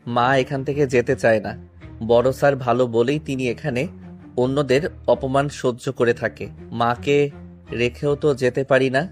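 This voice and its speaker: A man's voice: medium pitch, fairly even intonation, measured pace, soft background music, natural voice. A man acting a humble, self-deprecating young servant in an audio story drama. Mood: dejected, self-deprecating.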